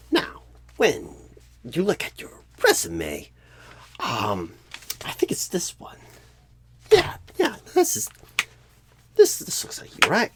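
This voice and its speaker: A man playing a clueless, bumbling job recruiter in a comedic ASMR role-play, rambling with odd pauses and repeated words, flustered but eager. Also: a little high, vocal fry, fairly bright tone, a little hiss or hum, full character voice. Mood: flustered, searching.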